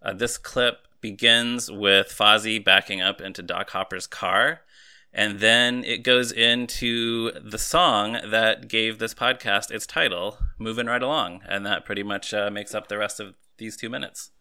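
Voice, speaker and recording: A man's voice: on the low side, fairly even intonation, a little slow, sharp tone, smooth. A man in his mid-thirties hosting a casual movie podcast. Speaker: podcast host.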